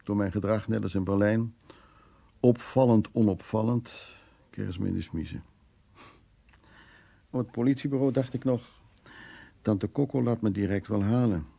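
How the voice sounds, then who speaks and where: An older man in a radio play, a stubborn survivor of the war. His voice is low and mellow with a gravelly creak, and he talks in plain, colloquial words, world-weary yet fond. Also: moderate pace, pauses often. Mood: wry, weary reminiscing. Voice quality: husky, creaky. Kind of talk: radio drama acting, reminiscing and intimate dialogue.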